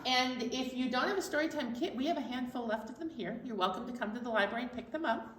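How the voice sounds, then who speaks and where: Female kids' storytime co-host, higher voice, instructive, echoey room, a little off mic. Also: fast, fairly bright tone, distant mic. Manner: instructive, speaking directly to the audience. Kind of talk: addressing young children at a library storytime. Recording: echoey room, away from microphone.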